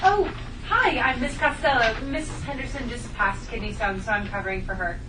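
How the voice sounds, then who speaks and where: A woman's voice: a little high, normal speed, lightly acted. A woman playing a sweet, polite substitute teacher in a sitcom table read. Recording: echoey room, distant mic, background noise.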